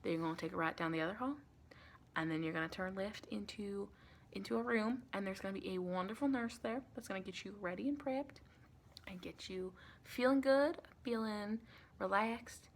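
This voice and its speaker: Casting: woman to play sweet, rambling American vampire lady, ASMR roleplay, medium pitch with plenty of vocal fry. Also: normal speed, slight background noise, close to an everyday voice. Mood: pleased, encouraging. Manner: chatty, rambling, reassuring, calls listener sweetie. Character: sweet, reassuring female vampire welcoming a new recruit.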